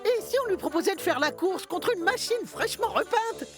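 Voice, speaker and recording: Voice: very high voice, lively intonation, brisk, over music. An actor voicing a cheeky, playful young male twin locomotive in a French-dubbed children's cartoon, with a teasing manner.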